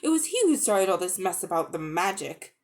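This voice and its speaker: A woman's voice: on the low side, sing-song, fairly quick. A woman voicing a cool, cutting young schoolgirl in a dramatic storybook reading. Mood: cool, huffy.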